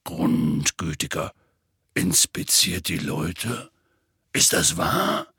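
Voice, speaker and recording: A man voicing a big, good-natured half-giant in an audiobook, with a gravelly, raspy voice and a hesitant, colloquial drawl. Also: rumbling low, huge pitch swings, extremely slow, bright, forceful tone, dry recording, clean studio sound, pitched below the performer's own voice, exaggerated voice. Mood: astonished. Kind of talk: audiobook character voice.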